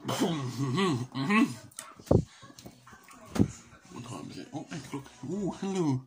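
A man's voice: fairly high pitch, expressive, slow, heavy background noise. A young man from England, losing his voice, rambling jokily to camera at home and narrating himself in the third person. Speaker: vlogger. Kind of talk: casual rambling self-commentary. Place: small dim living room at home. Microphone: webcam or phone camera at close range.

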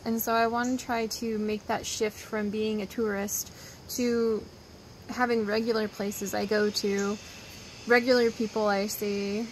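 A young woman with a somewhat high voice chats to her viewers in a loose, gushing way, rambling from thought to thought. She sits out on a wooden deck among trees, the camera held close to her. Faint outdoor noise hangs in the background. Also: poor audio. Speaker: vlogger. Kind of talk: casual chatty vlog. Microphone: phone or camera held close.

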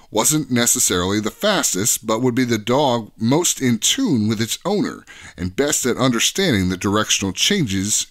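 A man's voice: on the low side, fairly bright tone. A man giving an informative, scripted-sounding podcast explanation as a guest expert, with an articulate storytelling delivery.

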